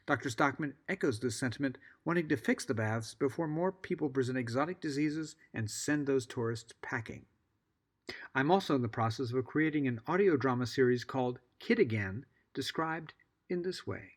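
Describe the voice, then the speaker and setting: A middle-aged American man giving a scripted podcast introduction, articulate and measured, with wry asides. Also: mid-range voice. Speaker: podcast host.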